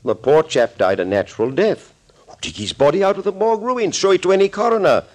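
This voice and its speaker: An actor playing a suave, gloating villain doctor in an old radio thriller, urbane, theatrical and mockingly courteous. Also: male voice, high voice, expressive, brisk, a little mellow. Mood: amused, gloating. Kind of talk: 1940s radio acting. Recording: old scratchy 1940s radio recording.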